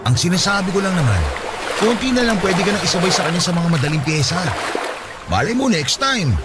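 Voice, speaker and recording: A man's voice: sits high, sing-song, brisk, very noisy. A man with a Filipino accent playing a cocky, teasing young band musician in a radio drama. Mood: casual. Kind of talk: Tagalog radio drama dialogue.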